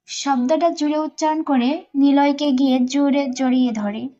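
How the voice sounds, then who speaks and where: A woman's voice: high-pitched, fairly even intonation, normal speed, dark tone, dull audio. A woman reading a scripted romantic story as a dramatic narrator.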